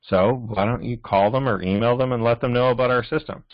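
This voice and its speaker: A man's voice: mid-range voice, fairly even intonation, brisk, dry recording, lo-fi sound. A man leading an informal sales training call, speaking instructively and often checking in with short tag questions. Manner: instructive, repeatedly checking in with "okay?". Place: live team conference call.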